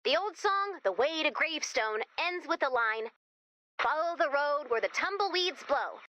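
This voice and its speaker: A woman's voice: high voice, animated, moderate pace, pushed and bright, dry recording, lo-fi sound. A woman voicing a quirky, wisecracking young American guide character in a video game, with a chatty, theatrical manner. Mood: playful encouragement. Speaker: mission guide character addressing the player commander.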